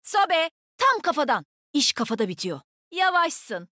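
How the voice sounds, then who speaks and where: Young female shooter-game agent, high voice, swooping intonation, brash, sassy, cocky. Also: big pitch swings, measured pace, bright, forceful tone, no room sound, clean studio sound, heavily acted. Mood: cocky, taunting. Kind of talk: video game combat voicelines.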